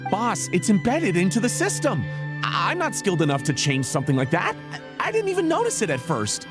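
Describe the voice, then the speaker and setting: Give the game's jittery technician henchman a hesitant stammer and wildly swooping pitch. He explains things apologetically and in technical detail, with clear music behind him. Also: huge pitch swings, fairly quick. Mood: nervous, apologetic. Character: nervous, apologetic underling technician of a villain boss. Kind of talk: video game cutscene acting.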